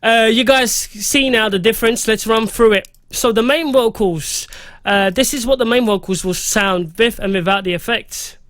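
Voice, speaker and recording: High-voiced hoarse producer. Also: male voice, moderate pace, fairly bright tone, no echo. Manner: chatty and loose, reading out settings with fillers. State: slightly hoarse, losing his voice. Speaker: music producer teaching a mixing tutorial. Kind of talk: casual screen-recorded software tutorial.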